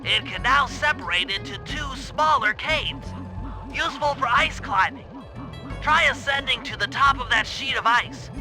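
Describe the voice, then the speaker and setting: A fussy young turtle brainbox, pitched extremely high. He talks in a precise, bookish way, briefing like a cartoon game gadget expert, with music clearly behind him. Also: a little slow, poor audio. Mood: brisk, instructive.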